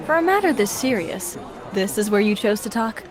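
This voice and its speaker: An actress playing a guarded, earnest young wandering heroine in a video game. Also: female voice, mid-range voice, very animated, moderate pace, close to an everyday voice. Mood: guarded, dry. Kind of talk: dramatic video game dialogue. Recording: music and crowd noise behind. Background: music, crowd.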